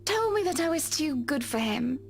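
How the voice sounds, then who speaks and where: Woman to play a confident, refined society lady; high-pitched, prim diction. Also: big pitch swings, normal speed, vocal fry, slight background noise, full character voice. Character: poised, genteel wealthy woman, confident and fond. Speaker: game character. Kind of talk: voiced visual novel dialogue.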